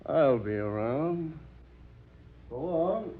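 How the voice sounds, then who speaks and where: Slow-talking wry radio detective. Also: male voice, a little high, expressive, narrow, tinny audio, a little hiss or hum. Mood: quietly menacing. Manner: measured, sardonic, insinuating questioning. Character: wry, persistent male police detective. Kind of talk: old-time radio drama acting. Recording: thin, band-limited old radio recording.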